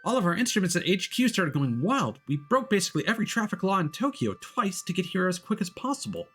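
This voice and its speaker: A man's voice: sits high, big pitch swings, fast, fairly bright tone, breathy edge, faint music behind, pitched above the performer's own voice, heavily acted. A man voicing an angry, worried woman investigator who scolds her teammate in an exasperated way.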